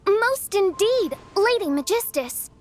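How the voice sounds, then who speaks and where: A woman's voice: very high-pitched, exaggerated voice. A woman voicing a theatrical teenage self-styled princess in a video game, declaiming in grandiose, archaic phrases. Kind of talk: animated video game acting.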